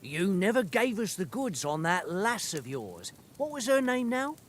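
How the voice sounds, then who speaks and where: An actor playing a carefree, boastful young soldier in a medieval game, with a cocky, jocular way of talking. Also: male voice, high voice, sing-song, a little slow, a little hiss or hum. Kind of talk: video game cutscene acting.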